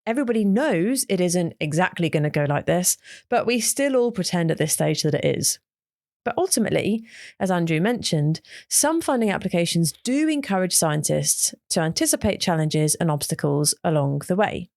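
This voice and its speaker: Podcast narrator, female, lively and varied intonation, recorded dry. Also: lowish voice, normal speed, vocal fry, no echo. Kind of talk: scripted narration.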